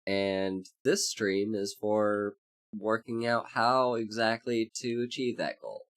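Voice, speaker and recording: A VTuber streamer in a casual livestream chat, congested and hoarse, rambling with many fillers while greeting chat by name. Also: medium pitch, steady tone, slow.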